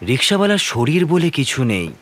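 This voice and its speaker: A man's voice: fairly high pitch, big pitch swings, moderate pace, a bit bright, slight background noise. A man narrating a scripted horror story in the first person, with a suspenseful, theatrical delivery.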